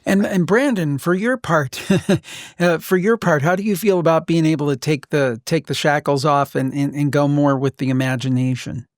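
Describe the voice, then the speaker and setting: A man with a fairly high-pitched voice hosts a casual interview. He sounds enthusiastic and informal, recorded dry with no audible room. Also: normal speed, no room sound. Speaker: podcast host, interviewer.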